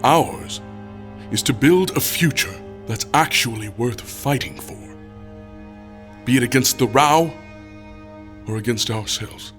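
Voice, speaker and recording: A solemn, commanding leader. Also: male voice, medium pitch, faint music behind. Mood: resolute. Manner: measured, solemn, quietly menacing. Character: commanding male leader addressing a council. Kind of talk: audio drama acting.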